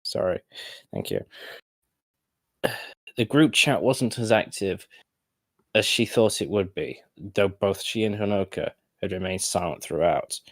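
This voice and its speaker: A man narrating a story as an amateur, halting and often correcting himself. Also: deep voice, dry recording, studio quality. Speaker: narrator of fan fiction storytime.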